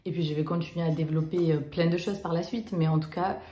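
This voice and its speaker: A young woman from Romania talks to the camera in a casual storytime vlog, her voice sitting a little low. She chats along with plenty of fillers and restarts, and the small room around her rings with echo. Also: steady tone, fast talker, warm tone. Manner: chatty, many fillers and restarts. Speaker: vlogger.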